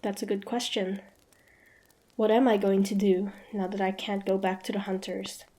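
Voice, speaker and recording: A woman playing a wary, sharp-tongued young demon hunter with a prickly but grudgingly softening manner, in a fantasy roleplay audio drama. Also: mid-range voice, little pitch movement, normal speed, lightly acted. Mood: uncertain, wary. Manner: guarded, sharp-tongued, tsundere.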